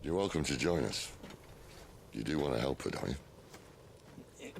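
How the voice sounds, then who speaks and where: Very deep, gravelly British rogue. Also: male voice, animated, noisy background, full character voice. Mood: teasing, assured. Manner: wry, unhurried teasing. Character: roguish middle-aged male outlaw leader, wry and self-assured. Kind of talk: video game cutscene acting.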